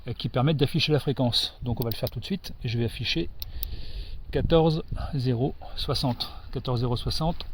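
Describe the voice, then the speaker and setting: A man giving an informal hands-on equipment demonstration as an amateur radio operator, explaining things hesitantly and pausing to find his words. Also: mid-range voice, noisy background.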